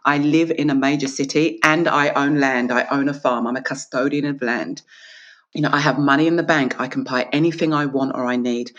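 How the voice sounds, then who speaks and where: A woman's voice: very deep voice, fairly even intonation, fairly quick, a bit bright, muffled recording. A woman from Australia, an author and guest, talking earnestly and with emphasis in an interview and acting out small dialogues. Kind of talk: reflective interview conversation.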